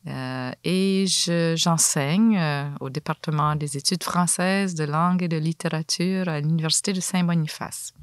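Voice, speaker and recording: A woman's voice: deep voice, steady tone, a little slow, hardly any pauses, no echo, studio quality. An older woman, a university teacher introducing herself plainly in an interview. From Franco-Manitoban, Canada. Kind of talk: conversational interview.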